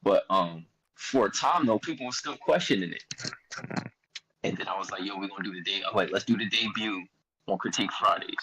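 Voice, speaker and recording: A man with a Philadelphia accent, a podcast guest telling a story in casual chat, laid-back but animated as he acts out what people said. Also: sits low, a little fast, slight background noise, rough recording.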